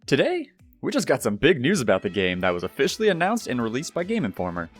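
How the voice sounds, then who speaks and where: A man's voice: mid-range voice, wildly swooping pitch, quick pace. A young adult man narrating gaming news in a scripted, upbeat presenter style, addressing viewers directly. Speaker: YouTube gaming news host. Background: background music.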